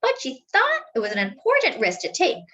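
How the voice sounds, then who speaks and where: A woman with a fairly high voice reads a children's book aloud. Her pitch moves in wide, lively swings, and she phrases deliberately, stretching words out for dramatic emphasis. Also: muffled recording. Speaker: storyteller reading a picture book aloud. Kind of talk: expressive children's read-aloud narration.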